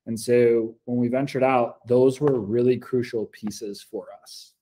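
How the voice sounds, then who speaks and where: An American man in his forties giving earnest, measured testimonial answers as a school coordinator, on a video call from an office meeting room. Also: mid-range voice, steady tone, dark tone, poor audio. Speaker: school district program coordinator. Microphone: laptop video call.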